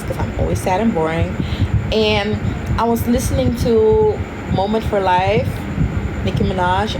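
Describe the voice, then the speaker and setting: A woman's voice: medium pitch, very noisy. Chatty woman, giggly. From Suriname. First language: Dutch. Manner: chatty, giggly, reads viewer questions aloud. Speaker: social media creator. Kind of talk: casual livestream Q&A.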